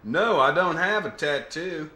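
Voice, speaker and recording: A man's voice: on the high side, lively intonation, measured pace, pushed and bright, slight background noise. A man playing a polite, flustered Southern American war veteran in comic dialogue, with a courteous, hesitant manner. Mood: puzzled, apologetic. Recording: echoey, roomy sound.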